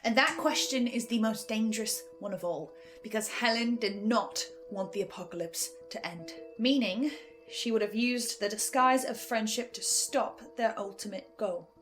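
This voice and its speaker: A woman hosting a scripted video essay, giving reflective commentary with dramatic pauses. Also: on the high side. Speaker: reviewer and host. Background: music.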